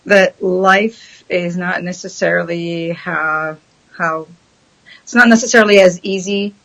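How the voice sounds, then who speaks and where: A woman, a guest answering questions thoughtfully, with many pauses and ums. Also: on the low side, steady tone, vocal fry, a bit bright, low-quality recording. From Syria. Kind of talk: casual interview chat.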